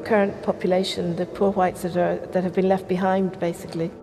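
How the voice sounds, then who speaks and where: A middle-aged woman speaks in a flat, reflective interview manner, her pitch held level and a bit low. Her voice trails into a long room reverb. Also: fairly quick. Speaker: photographer interviewee. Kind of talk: interview recollection.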